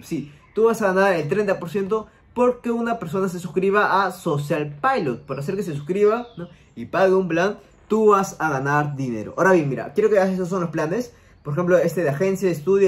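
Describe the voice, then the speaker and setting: Young man, high voice, step-by-step tutorial, repetitive directions to the viewer. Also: darkish tone. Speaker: online money-making YouTuber. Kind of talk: screen-recorded tutorial. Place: home room, gaming chair.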